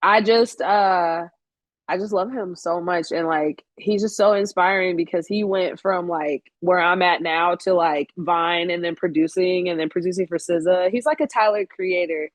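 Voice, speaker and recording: A woman's voice: fairly low pitch, fairly even intonation, normal speed, dry recording. A woman in her late twenties, a podcast guest chatting casually, candid and blunt with frequent swearing and laughter slipping into her sentences. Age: 20s. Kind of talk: casual interview chat.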